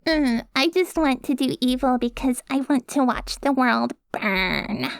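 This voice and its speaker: Woman putting on a creaky, vapid villainess. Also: high voice, lively intonation, unhurried, dry recording, pitched above the performer's own voice, exaggerated voice. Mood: mocking, affected. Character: mocking impression of a female rival villain, vapid poser. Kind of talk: scripted ASMR roleplay.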